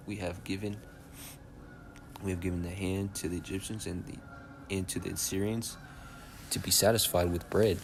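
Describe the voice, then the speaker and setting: Soft-spoken young man teaching scripture, very low. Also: noisy background. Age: young adult. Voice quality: soft-spoken by his own account. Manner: casual, checks in constantly with "all right?" and "okay?". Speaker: Bible teacher.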